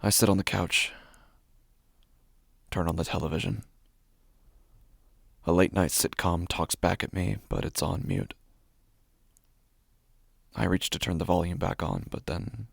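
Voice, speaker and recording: A man performing first-person horror narration as an uneasy city dweller, in hushed, deliberate, short phrases. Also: low-pitched, animated, creaky, breathy edge, dry recording, clean studio sound, exaggerated voice. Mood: tense, subdued. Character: uneasy city dweller recounting a creepy homecoming. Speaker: narrator of a horror fiction podcast.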